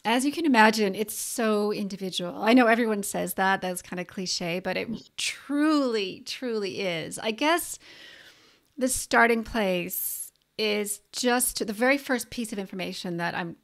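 A woman's voice: medium pitch, unhurried, vocal fry. Canadian woman explaining on a podcast mic. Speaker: naturopathic doctor, guest. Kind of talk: interview answers on video call. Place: small room indoors. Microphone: podcast mic on boom arm.

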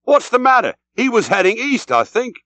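A man puts on a bluff, genial English whaling captain from a storybook. He spins his yarn heartily, with exclamations, a raised pitch and big swings of intonation. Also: very animated, moderate pace, no echo. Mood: alarmed.

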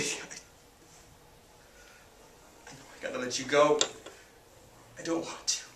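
A man performing a dramatic audition monologue as a grief-stricken teenager, emotional and halting, in an echoey room far from the microphone. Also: high-pitched, a little hiss or hum. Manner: emotional, halting, swinging from whispers to shouts. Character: grief-stricken teenager who lost the whole family.

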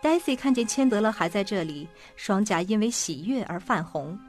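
A woman's voice: mid-range voice, lively intonation, normal speed, a little mellow, soft background music. A woman narrating a radio drama from a script.

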